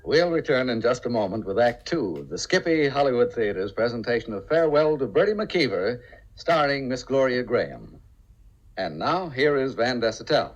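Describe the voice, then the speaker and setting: A man's voice: mid-range voice, big pitch swings, moderate pace. A man announcing formally as a 1950s radio drama announcer. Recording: muffled old radio, music behind.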